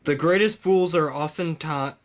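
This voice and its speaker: A man's voice: a little high, normal speed. A man co-hosting a podcast, telling stories and joking in a rambling, casual chat. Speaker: podcast co-host.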